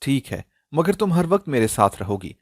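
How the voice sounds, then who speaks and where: A man's voice: mid-range voice, sing-song, normal speed, no room sound, studio quality. A man voicing a stern, controlling mother. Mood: stern, angry. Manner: stern, curt, commanding. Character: strict, controlling middle-aged mother, sharp and evasive.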